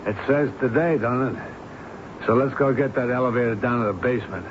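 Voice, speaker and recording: An actor playing an impatient, hard-nosed electrician, curt and slangy, in a radio drama. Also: male voice, medium pitch, fairly quick, natural voice. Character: brusque, impatient working-man electrician. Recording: muffled, noisy old radio drama recording.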